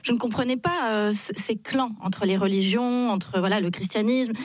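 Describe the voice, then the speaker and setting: A woman guest in a radio studio interview, telling a personal story in an animated way and acting out the dialogue she quotes. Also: medium pitch, normal speed, dry recording. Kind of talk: personal testimony in conversation.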